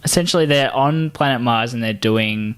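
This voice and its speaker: A man with an average-pitched voice co-hosts a casual podcast. He talks bluntly and swears freely as he banters about films and games. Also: normal speed, a bit bright, clean tone. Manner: blunt, foul-mouthed. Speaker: podcast co-host.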